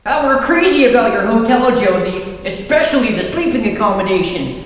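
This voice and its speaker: Plucky young boy hero played by a woman, slangy and lively, high voice, heard from afar in a big echoing hall. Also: brisk. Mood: cheeky. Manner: cheeky, slangy. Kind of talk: old-time radio play acting on stage. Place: theatre stage before a live performance. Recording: very echoey hall, distant mic.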